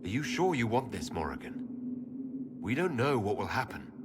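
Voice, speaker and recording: A man playing a cautious, commanding leader of heroes in a fantasy game, speaking in a measured, serious way. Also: fairly low pitch, big pitch swings, heavy background noise. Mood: concerned. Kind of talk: dramatic fantasy game acting.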